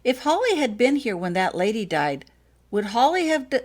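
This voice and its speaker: A woman's voice: fairly high pitch, very animated, normal speed, pitched above the performer's own voice. A woman voicing an agitated father in an audiobook story, shaken and accusing, sputtering out questions. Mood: shaken, dreading.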